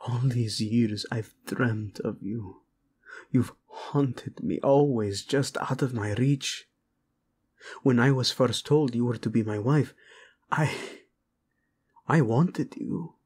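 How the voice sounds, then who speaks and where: A male voice actor playing a brooding young warlord prince who speaks softly and often drops to a whisper to his bride. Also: mid-range voice, slow. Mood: longing. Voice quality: husky, soft-spoken, often drops to a whisper. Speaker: audio roleplay voice actor. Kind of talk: audio roleplay acting.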